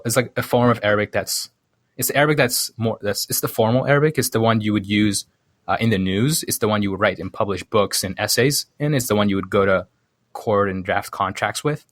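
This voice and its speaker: A man from Sudan explaining things casually as an interview guest, haltingly thinking aloud and correcting himself as he goes. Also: lowish voice, a bit bright, no echo, clean studio sound. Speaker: podcast guest, programmer.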